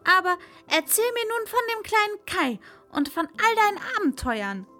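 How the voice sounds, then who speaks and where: A woman's voice: very high-pitched, sing-song, normal speed, bright, forceful tone, soft background music, pitched above the performer's own voice, heavily acted. A woman voicing a wild, bossy robber girl in a read-aloud fairy tale, brash and teasing.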